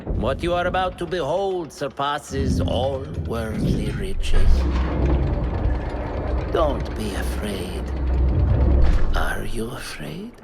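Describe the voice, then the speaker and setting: A man playing an arrogant, obsessive scholar villain in a video game, pompous and menacing, under loud music. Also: medium pitch, animated. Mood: menacing, grandiose. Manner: pompous, deliberate. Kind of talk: cinematic video game acting.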